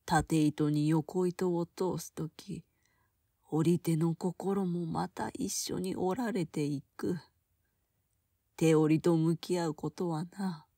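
A woman acts as an old weaver in an audio drama. She is stern and wise, with a low, mellow voice and very measured, weighty delivery, recorded dry. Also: breathy edge, no echo, full character voice. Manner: slow, weighty, admonishing. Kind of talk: Japanese audio drama acting.

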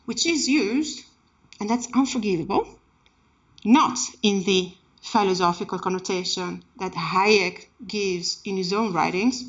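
A woman's voice: mid-range voice, measured pace, husky. A woman giving an academic keynote talk as personal storytelling, with an emphatic, impassioned delivery. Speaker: keynote speaker, academic researcher. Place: indoor seminar room, speaking at a lectern. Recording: dull, muffled lecture-room recording.

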